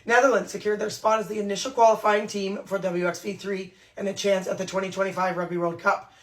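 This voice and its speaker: Hoarse woman reading rugby news. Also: mid-range voice, level tone, low-quality recording. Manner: brisk newsreader delivery. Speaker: news podcast host. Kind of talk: scripted news rundown.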